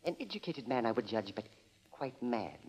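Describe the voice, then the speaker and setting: An actor playing a self-assured elderly gentleman witness in a 1940s radio drama, precise and emphatic. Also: mid-range voice, sing-song, normal speed, slightly rough. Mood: insistent.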